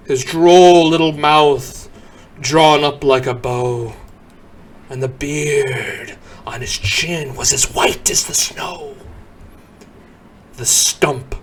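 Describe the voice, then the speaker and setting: A man giving a dramatic recitation of a poem, theatrical, moving between hushed murmurs and booming exclamations. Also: a little hiss or hum. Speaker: poem reader.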